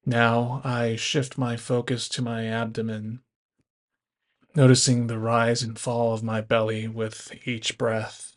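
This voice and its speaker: A man guiding a body scan meditation as an instructor, speaking in measured first-person instructions. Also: mid-range voice, flat delivery.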